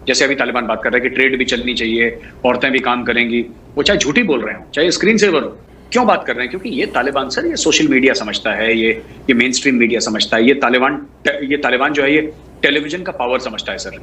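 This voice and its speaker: A man's voice: medium pitch, quick pace, bright, forceful tone. A man giving political commentary as a guest, emphatic, piling up rhetorical questions and repeated lists. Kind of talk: political discussion, answering a host. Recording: clearly noisy background.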